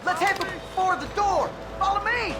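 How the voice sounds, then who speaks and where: An actor playing a jittery, foul-mouthed outlaw with a heavy stutter in a video game. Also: male voice, extremely high pitch, normal speed, noisy background. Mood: frantic, fierce. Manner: jittery, breaking words into repeated sounds. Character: frightened, stammering, foul-mouthed male outlaw captive turned gunfighter. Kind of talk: video game cutscene acting. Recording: loud music and gunfire behind.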